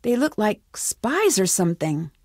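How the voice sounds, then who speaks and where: A woman's voice: medium pitch, very animated, unhurried, a little mellow, no echo, clean studio sound. A woman playing a young American detective in a video game, inquisitive, determined and guarded, speaking in a direct, conversational way. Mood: suspicious, firm. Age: young adult. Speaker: video game lead character. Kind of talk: scripted game dialogue.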